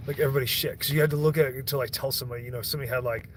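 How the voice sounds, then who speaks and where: A man's voice: mid-range voice, steady tone, racing, a little hiss or hum. A young man on a stream, rambling and swearing in casual chat, often breaking off and restarting his sentences. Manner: rambling, profane, self-interrupting.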